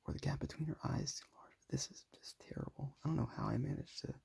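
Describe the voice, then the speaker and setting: A young man with a raspy voice, talking casually and modestly while showing his sketches. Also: medium pitch, fairly even intonation, fast talker, whispered. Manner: soft-spoken, self-deprecating, rambling.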